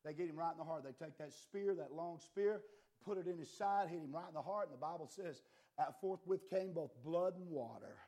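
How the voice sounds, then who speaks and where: A man preaching a fiery sermon, impassioned and swinging between quiet and loud, his voice a little worn. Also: a little high, a little fast.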